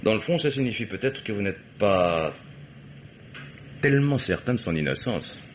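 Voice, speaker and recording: An actor playing a blunt, sardonic private detective who argues insistently in a radio mystery play. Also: male voice, lowish voice, animated, moderate pace, lo-fi sound, slight background noise, close to an everyday voice. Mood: sardonic, pressing.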